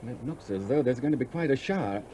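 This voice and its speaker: An actor playing a charming, idle English gentleman in a 1970s radio play, suave and teasing with small hesitations. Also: medium pitch, lively intonation, fast talker, a little hiss or hum, close to an everyday voice. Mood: brisk, urging. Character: charming, flirtatious, idle well-off English gentleman, restlessly bored.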